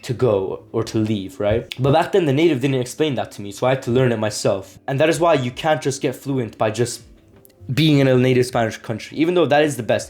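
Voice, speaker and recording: A man's voice: medium pitch, a little mellow. A young man, a language-learning video maker, talking straight to camera in a casual, earnest way, leaning on "like" and "right?". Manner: earnest, repetitive, lots of "like" and "right?". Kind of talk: casual explainer vlog.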